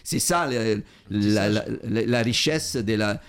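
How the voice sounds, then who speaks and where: Italian-accented man hosting, effusive and halting. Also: lowish voice, clean tone. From Italy. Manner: effusive, halting, fills gaps with repeated "voilà". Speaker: radio show host. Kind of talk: casual on-air chat.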